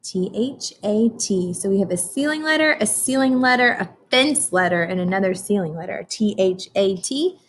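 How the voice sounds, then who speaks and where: An American woman reads a picture book aloud for young children in a medium-pitched voice. She sounds like a teacher, instructional and simple in her wording, turning to her listeners with prompts and questions. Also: animated, moderate pace, hardly any pauses, a little hiss or hum.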